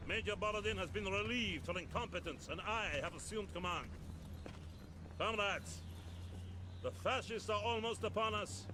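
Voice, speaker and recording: Commanding wartime colonel played by a man, contemptuous, high-pitched for a male, game cutscene, clear outdoor noise. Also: moderate pace, lightly acted. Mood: stern, commanding. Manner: commanding, authoritative. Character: stern, contemptuous Soviet NKVD colonel in wartime. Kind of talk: dramatic video game cutscene acting.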